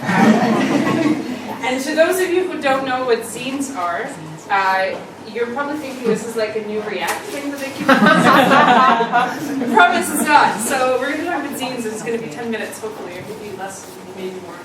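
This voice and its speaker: A young woman chats through a meetup talk, self-deprecating and quick to laugh, her voice sitting a little high. She is picked up from across a very echoey room, and steady noise sits under the recording. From Ukraine, raised in Canada. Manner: chatty and self-deprecating, with lots of ums and laughs. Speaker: meetup speaker. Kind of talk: casual conference talk. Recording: echoey room, distant mic, noisy.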